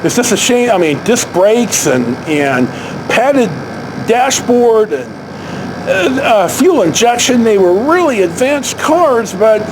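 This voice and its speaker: A man tells stories casually, in a halting, rambling way with asides and self-corrections. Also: high-pitched. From San Francisco Bay Area, United States. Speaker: vlog host telling personal stories. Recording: clear steady background noise.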